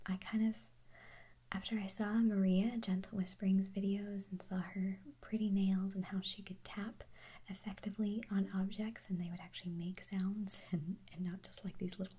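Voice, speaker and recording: A young woman whispering softly in a chatty, rambling way as she answers viewer questions for an ASMR video. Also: mid-range voice, breathy edge. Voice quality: soft unvoiced whisper. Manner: chatty, rambling, self-correcting, giggly.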